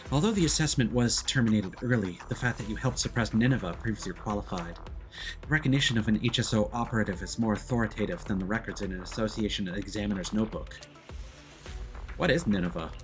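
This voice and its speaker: A man voicing a formal, measured research examiner from a video game. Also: on the low side, close to an everyday voice.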